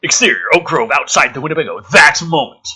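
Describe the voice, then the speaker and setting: A man reading a script aloud dramatically and breaking into sarcastic, foul-mouthed commentary, with a raspy voice. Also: fairly high pitch, expressive, fast, pushed and bright. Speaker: gaming channel host reading and mocking a film script. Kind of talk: dramatic script read-through with ranting commentary.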